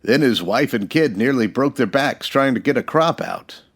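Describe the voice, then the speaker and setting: A man playing a steady, decent United States marshal in an old-time radio western, plainspoken and folksy. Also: medium pitch, normal speed, slightly rough. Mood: grave, disapproving.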